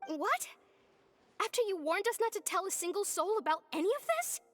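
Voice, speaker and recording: A woman's voice: sits high, expressive, normal speed, a bit bright, soft background music. An actress playing an anxious, stern young female researcher in a video game, a no-nonsense taskmaster with an urgent manner. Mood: alarmed, scolding. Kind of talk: animated game voice acting.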